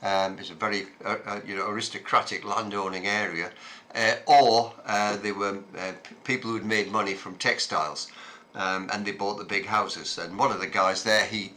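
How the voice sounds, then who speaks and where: A man, recalling his childhood in an unhurried, reflective way, pausing often with ums and uhs. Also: on the low side, sharp tone. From Scotland. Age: 70s. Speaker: interviewee. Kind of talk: reminiscing conversation.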